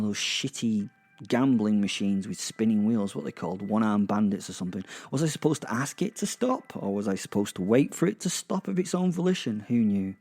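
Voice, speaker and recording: Man as a mellow, wry, remorseless werewolf killer. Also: mid-range voice, gravelly. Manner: wry, deliberate, musing, sweary. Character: remorseless male werewolf killer, wry, cocky, centuries old. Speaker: narrator and protagonist. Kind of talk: first-person dramatic narration.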